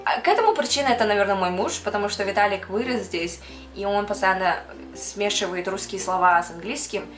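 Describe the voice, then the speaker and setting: A woman's voice: mid-range voice, a little fast, echoey room, soft background music. A young woman from Kyrgyzstan who works as a makeup artist, chatting casually to camera and answering viewers' questions, sprinkling in English words and laughing now and then.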